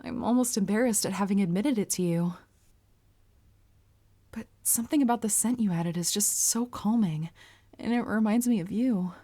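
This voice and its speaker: A woman playing a polite, earnest, bashful young anime heroine with a crush, speaking formally and gently gushing in a roleplay audio. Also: mid-range voice, moderate pace, natural voice. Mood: bashful admiring. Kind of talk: anime character roleplay audio, addressed to listener.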